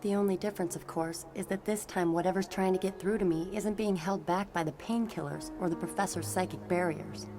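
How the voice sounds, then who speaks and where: Woman doing a troubled young psychic hero, kinda low n wry, motion comic w music under. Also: steady tone, brisk, few pauses, close to an everyday voice. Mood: troubled, sardonic.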